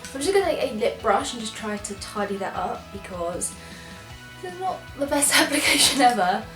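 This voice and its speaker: Young English woman, slightly high voice, nasally from a cold, bubbly beauty vlogger chat in an echoey room, faint music under. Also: very animated, brisk. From England. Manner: chatty, enthusiastic, apologetic asides. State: congested from a cold. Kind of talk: casual product try-on chat.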